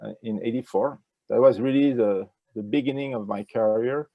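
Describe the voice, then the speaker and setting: An older man with a French accent, answering interview questions in a halting way with many fillers, speaking over a video call with a wired earphone mic. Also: mid-range voice, dry recording, highs cut off. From France. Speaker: ecology professor, interview guest. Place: indoors with a virtual nature backdrop.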